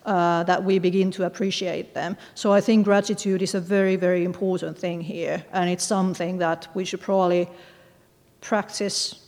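A woman author from Finland answering audience questions, speaking thoughtfully with frequent pauses and fillers. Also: lowish voice, level tone, darkish tone, in a large hall. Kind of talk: discussing her book with an audience.

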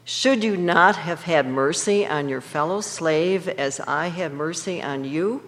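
A reader reading scripture in a measured, emphatic way. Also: medium pitch, a bit bright, in a large hall.

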